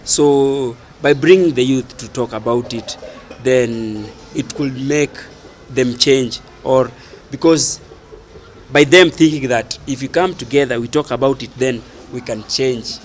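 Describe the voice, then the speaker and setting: A young man with a Kenyan accent answering an interview casually, in short halting phrases. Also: medium pitch. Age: young adult. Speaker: youth workshop participant being interviewed. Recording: faint outdoor urban noise.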